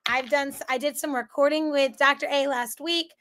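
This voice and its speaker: Bright, high-voiced American woman with a cold. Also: fairly even intonation, moderate pace. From central Florida, United States. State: strained voice from a cold. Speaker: math teacher leading an online class. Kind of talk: casual chatty live lesson.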